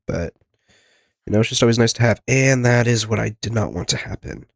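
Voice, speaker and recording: A man's voice: mid-range voice, a little fast, creaky, no room sound, clean studio sound. A man with a congested, plugged-up nose from a cold gives casual, rambling game commentary, often talking to himself and to the game's characters. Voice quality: congested, stuffy-nosed. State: getting over a sickness, plugged nose. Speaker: host of a gaming video.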